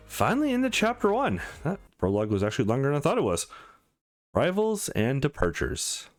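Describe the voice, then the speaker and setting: A man reading a visual novel aloud for a let's play, mixing dramatic narration with casual commentary. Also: medium pitch.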